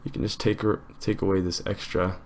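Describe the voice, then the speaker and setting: Very low-voiced man giving casual tutorial. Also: level tone, a little fast, a little hiss or hum. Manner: informal, step-by-step explaining. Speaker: tutorial host.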